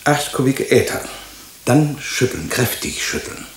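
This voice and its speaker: An actor playing a courteous, pedantic laboratory chemist in a radio drama, explaining things precisely and deferentially. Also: male voice, medium pitch, big pitch swings, a little slow, creaky. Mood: precise, polite. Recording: roomy echo, faint hiss.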